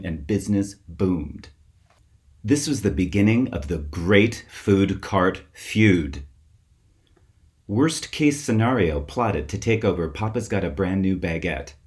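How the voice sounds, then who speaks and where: A man reading a children's story aloud as its author, with expressive, theatrical emphasis. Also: lowish voice. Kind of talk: scripted storybook narration for children.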